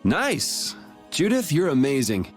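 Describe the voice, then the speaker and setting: A man's voice: a little high, huge pitch swings, slow, heavily acted. An actor playing a blunt, sarcastic young swordsman in a game cutscene. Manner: dry, sarcastic, laid-back. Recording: game audio with music behind.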